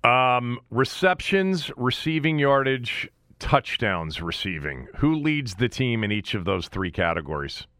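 A man with a medium-pitched voice hosts a sports interview. He puts questions to his guest conversationally, and the sound is close and dry with little room. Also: very animated, a little slow, fairly bright tone, dry recording. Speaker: sports show host.

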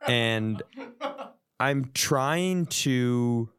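Man, casual comic storyteller, podcast co-host, crisp tone, dry sound, animated, self-mocking. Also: medium pitch, drawn-out words, no echo. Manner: animated, self-deprecating, acts out quotes. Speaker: podcast co-host telling stories.

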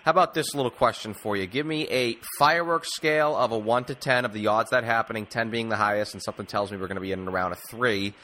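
Bright, forceful male sports host. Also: lowish voice, fast, hardly any pauses, slight background noise. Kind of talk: casual sports interview.

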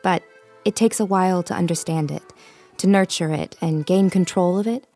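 An actress playing a young tarot-reading psychic who is confident and teasing. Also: female voice, on the low side, normal speed, mellow, soft background music. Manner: teasing, dry, self-assured. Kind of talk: comedic audio drama.

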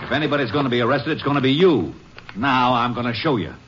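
A hot-tempered cattle rancher in a 1950s radio western. His husky voice blusters and threatens. The old recording is dull and narrow. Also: medium pitch, animated, fast, a bit bright, faint music behind. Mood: angry, threatening. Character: angry, blustering Texas cattle rancher accusing his neighbor. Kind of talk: 1950s radio drama acting.

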